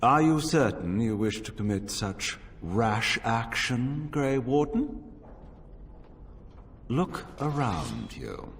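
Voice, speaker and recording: Suave slaver mage from a game, slow and theatrical, big hall reverb. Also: male voice, medium pitch, lively intonation, a little hiss or hum. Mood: smug, menacing. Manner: mock-polite, unhurried, theatrical. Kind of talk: video game cutscene acting. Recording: long hall reverb, faint music.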